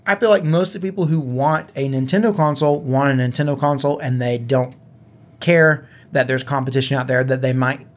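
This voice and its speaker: A man's voice: mid-range voice, fairly quick. A man co-hosting a gaming podcast in casual, conversational style. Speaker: podcast co-host.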